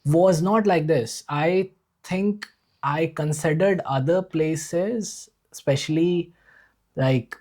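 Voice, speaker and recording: A man's voice: a little high, slow, darkish tone. A man from India with an Indian accent, telling his life story earnestly and enthusiastically in a casual podcast conversation. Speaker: podcast guest, usual host.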